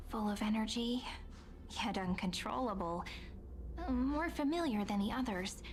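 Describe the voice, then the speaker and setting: A woman voicing a young, plucky, curious game heroine who muses aloud in an expressive manner. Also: on the high side. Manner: expressive, musing aloud to herself.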